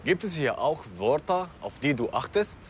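A man's voice: fairly high pitch, very animated, moderate pace, a little hiss or hum. A man presenting a feature report and interviewing guests, speaking German with Kurdish as his first language.

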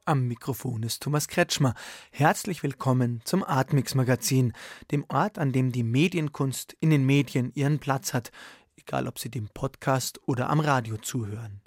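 A man's voice: medium pitch, moderate pace, no room sound, clean studio sound. A man presenting a scripted radio magazine as its host.

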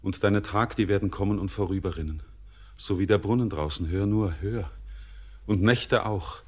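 An actor playing a restless young miner who speaks in a brooding, hushed way in a classic radio play. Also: male voice, on the low side, moderate pace, slightly rough. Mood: haunted.

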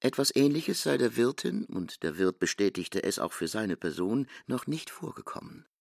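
A man's voice: mid-range voice, very animated, moderate pace, vocal fry, dry recording, studio quality. A man delivers dramatic scripted literary narration in a radio drama.

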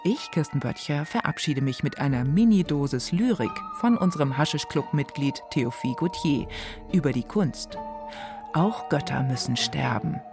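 A woman hosting radio with a scripted presentation, speaking pointedly with deliberate dramatic pauses. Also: sits low, animated. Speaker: radio host. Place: radio studio. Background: music.

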